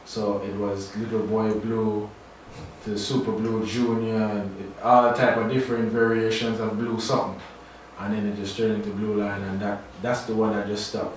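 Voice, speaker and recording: A young man from Trinidad chats as a guest in a radio studio, his voice a bit low in pitch. He talks in a laid-back, halting way, full of fillers. The mic sits at a distance in a very echoey room with faint noise beneath. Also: flat delivery. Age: young adult. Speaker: guest artist. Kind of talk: casual radio interview.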